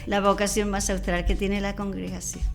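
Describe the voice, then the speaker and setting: A woman's voice: mid-range voice, level tone, normal speed, vocal fry. An older Chilean woman, a nun, recalling her life in a hesitant, conversational way with small repeated affirmations. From Puerto Montt, southern Chile. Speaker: guest, Carmelite nun. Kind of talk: conversational personal reminiscence. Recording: music bed under the voice.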